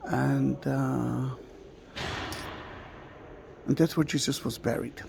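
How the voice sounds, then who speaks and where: Slow, gravelly Hebrew-speaking tour guide. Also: male voice, mid-range voice, steady tone, noisy background. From Israel. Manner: slow, halting, with many fillers. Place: large stone church with a domed rotunda.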